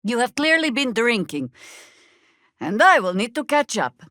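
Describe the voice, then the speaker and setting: A woman voice actor playing a seasoned, older druid warrior in a video game, wry, stern and proud, with measured, commanding delivery. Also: fairly high pitch, very animated, a bit bright, no room sound, clean studio sound. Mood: dry, warmer. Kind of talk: scripted video game dialogue.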